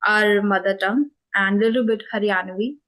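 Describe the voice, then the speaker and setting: A young Indian woman talks through her story in a conversational interview. Her English carries an Indian accent, and she pauses often on fillers and corrects herself mid-sentence. Her pitch and pace sit in the middle range. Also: a bit bright. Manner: thoughtful, many fillers and self-corrections. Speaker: spoken English channel host.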